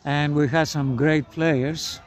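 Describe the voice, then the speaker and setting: A man in his seventies from Greece, a podcast guest telling stories in a measured, thoughtful way. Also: on the high side, steady tone. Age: 70s. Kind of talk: casual conversation.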